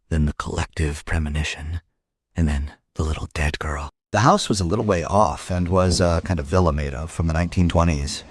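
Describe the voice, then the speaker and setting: A man playing a world-weary police detective, narrating a crime audio drama in a measured, musing way. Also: low voice, a little mellow, breathy edge, dry recording, studio quality. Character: world-weary male police detective telling his case.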